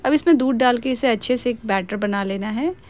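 A woman's voice: on the high side, a little fast, a little mellow. A young woman casually narrating her home cooking in a voice-over, chatty and mixing in English words. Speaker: cooking vlogger.

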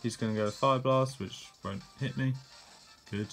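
A man giving a casual, relaxed play-by-play of his own game battle, correcting himself as he goes. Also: mid-range voice, measured pace, gravelly, faint music behind. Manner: relaxed, plain narration with fillers and self-corrections. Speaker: gameplay commentator.

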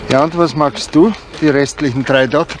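A man's voice: fairly high pitch, steady tone, moderate pace, natural voice. An actor playing a talkative, rueful man confiding in a friend in a 1970s radio play. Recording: noisy old radio play recording.

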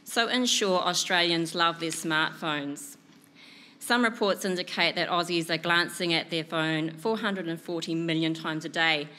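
A woman in her thirties with a New Zealand accent, a PR consultant giving a prepared presentation at a conference, speaking clearly and steadily from notes into a lectern microphone. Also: lowish voice, pushed and bright. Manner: clear, measured delivery from notes. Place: conference room, indoors.

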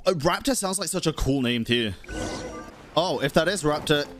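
A man's voice: fairly high pitch, expressive, moderate pace, over music. A man in his thirties giving casual live stream commentary, reacting aloud to a game and chatting to viewers.

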